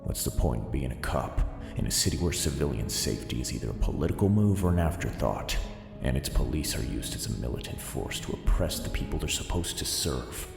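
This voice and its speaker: An echoing, reverberant voice over prominent music, a male actor as a disillusioned city policeman in an audio drama. He is extremely deep, brooding slowly and bitterly as he questions himself. The tone is weary and conflicted. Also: lively intonation, full character voice. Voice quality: deep, gravelly. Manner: brooding, slow, self-questioning. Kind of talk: audio drama inner monologue. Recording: echoey long reverb, music underneath.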